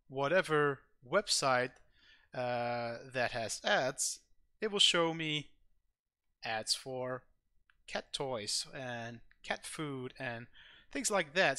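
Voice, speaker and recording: A man's voice: medium pitch, bright, forceful tone, smooth, no echo, studio quality. Dutch-accented man explaining over a screen recording. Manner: loose and rambling, many uh fillers and restarts. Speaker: tech channel host.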